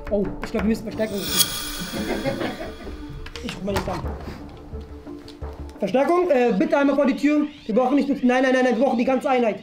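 A woman's voice: fairly high pitch, animated, a little fast, pauses often, over music. A young woman hosting as she plays a police inspector in a playful improvised interrogation. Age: young adult. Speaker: host playing a police inspector. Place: indoor studio room at a table.

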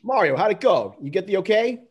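Eager, sheepish younger plumber brother read by a man, high and raspy, script read-through. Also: sing-song, a little fast, dull audio, heavily acted.

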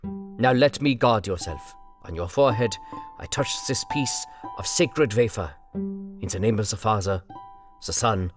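A man's voice: on the low side, measured pace, music in the background, close to an everyday voice. A man reading an audiobook, voicing an elderly, kindly professor with solemn, old-world formality. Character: elderly learned male professor, grave and kindly.

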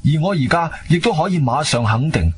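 A man acting as an intrepid adventurer, telling his story in expressive, suspenseful Cantonese radio-drama style. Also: a little high, brisk, breathy edge. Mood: tense, suspenseful. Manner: expressive, suspenseful, colloquial delivery. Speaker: narrator and lead actor. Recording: background music under the voice.

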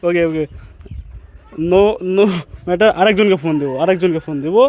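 A man's voice: high voice, normal speed, a little mellow. A young man with a Bangladeshi accent hosting a casual prank vlog, mixing in English words. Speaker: prank video host.

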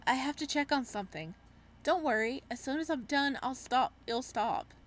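A woman reads as a bullied male student from a horror visual novel. He is meek and polite, sweetly creepy, with a high-pitched voice for a young man. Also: lively intonation, normal speed. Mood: calm, eerie. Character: bullied male student, sweetly eerie, self-pitying, cannibalistic.

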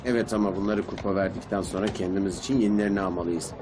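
A man's voice: low voice, fairly even intonation, racing, over a noisy crowd, close to an everyday voice. A man playing a cocky, boastful young slacker hero in a dubbed cartoon, easygoing in manner. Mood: matter-of-fact. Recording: loud music behind.

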